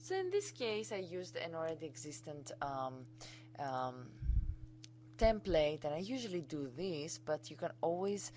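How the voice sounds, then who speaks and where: Low-voiced Greek-accented woman teaching keenly. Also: big pitch swings, a little slow, a little mellow, a little hiss or hum. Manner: enthusiastic, chatty and informal. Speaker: college instructor. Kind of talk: screencast software tutorial.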